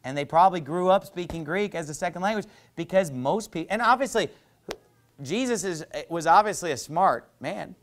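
Animated preaching man. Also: sits high, normal speed. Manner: animated, gesturing, conversational preaching with asides. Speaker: pastor. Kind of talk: sermon. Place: small church stage with painted backdrop, indoors. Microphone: lapel mic.

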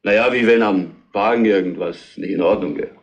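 An actor playing a defensive furniture truck driver in a retro crime radio play, speaking haltingly and colloquially. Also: male voice, fairly low pitch, creaky, highs cut off, a little hiss or hum. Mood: uneasy, recounting. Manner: halting, colloquial, plain-spoken. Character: defensive working-class furniture truck driver, a murder suspect. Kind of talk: retro German radio crime drama acting.

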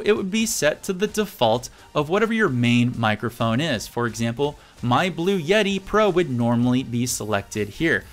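Lively high-pitched man presenting a tutorial. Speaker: tutorial host. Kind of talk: instructional software tutorial. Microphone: USB studio microphone.

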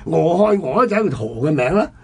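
A man's voice: a little high, expressive, very fast, breathy edge. A man with a Hong Kong Cantonese accent telling history conversationally as a radio host, in an explanatory manner.

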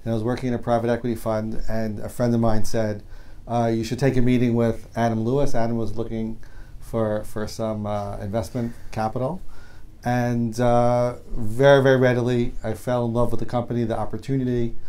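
Middle-aged man, company president, mid-pitch voice, hesitant fillers, studio guest. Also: little pitch movement, mellow. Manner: hesitant, many fillers, measured. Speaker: company president being interviewed. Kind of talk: recounting his story in an interview. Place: small TV talk show studio, indoors.

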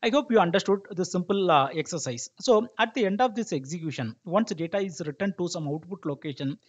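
A man narrating a software tutorial step by step as an instructor, explaining and checking that listeners follow, in a lo-fi recording. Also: a little high. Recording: dull lo-fi microphone sound.

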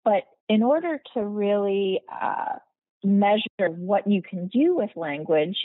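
A woman hosting an interview podcast explains her points fluently before she asks each question. Her voice sits at an ordinary middle pitch. The sound is narrow and phone-like, dry and close. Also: expressive, slow, no echo. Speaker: podcast host. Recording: tinny phone-like line, dry.

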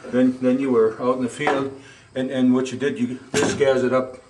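A man's voice: mid-range voice, flat delivery, moderate pace, noisy background. Older man explaining old farm ways. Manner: folksy, rambling, full of "you know" and "okay?". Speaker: museum guide. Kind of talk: casual explaining on a tour. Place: inside an old wooden barn. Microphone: camera mic, handheld camera.

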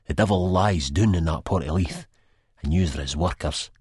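A man's voice: low voice, normal speed, darkish tone, breathy edge, no room sound, clean studio sound. A man voicing a ranting born-again Scottish ex-addict who is fervent and preachy, with a Scottish accent. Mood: accusing.

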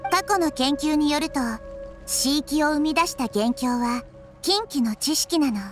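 A woman voicing a gentle, wise little girl nature deity in an anime game, speaking calmly and thoughtfully. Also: high-pitched, measured pace, over music. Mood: calm, explaining. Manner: calm, thoughtful, softly explanatory. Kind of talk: Japanese anime game voice acting.

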